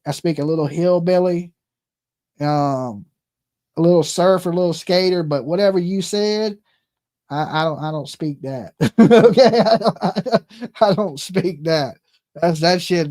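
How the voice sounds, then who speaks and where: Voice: mid-range voice, a little mellow. Crude, mocking call-in stream host, sex ambiguous. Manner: crude, mocking, slangy, profane; peppers talk with "right?" and "okay". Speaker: live call-in show host. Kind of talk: casual live-stream chat and commentary.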